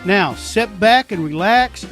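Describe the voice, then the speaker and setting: Guy doing radio channel intro, animated voice, faint music behind. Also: sits high, takes it slowly. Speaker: station announcer. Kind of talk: scripted channel intro narration.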